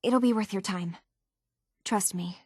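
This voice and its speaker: Game role: young female synth tinkerer, earnest and halting, raspy-soft texture, very dry studio sound. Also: medium pitch, no room sound. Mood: urgent, pleading. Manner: earnest, hesitant, conversational. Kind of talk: video game character dialogue.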